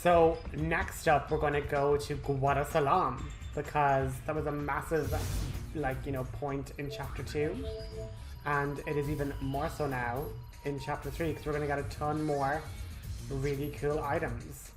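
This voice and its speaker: A man's voice: on the high side, little pitch movement, a little slow, music in the background. Chatty man doing casual game commentary. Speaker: gaming walkthrough host.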